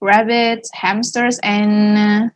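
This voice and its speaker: Slow-talking woman, curious. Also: mid-range voice, flat delivery. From Indonesia. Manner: friendly, curious, many fillers and acknowledgements. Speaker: English and Indonesian teacher, co-host. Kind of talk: casual conversational Q&A.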